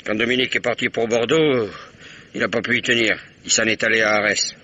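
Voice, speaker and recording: A man's voice: medium pitch, fairly even intonation, a little fast, pushed and bright, lo-fi sound. An actor playing an evasive, blustering old local man in a 1950s-style radio drama. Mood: grumbling. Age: older. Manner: blustering, dodging questions, grumbling.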